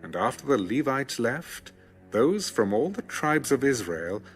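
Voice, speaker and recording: A male narrator delivers solemn scripture at an unhurried pace. His pitch rises and falls expressively, and soft music plays behind him. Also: very animated. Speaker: Bible audiobook narrator. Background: background music.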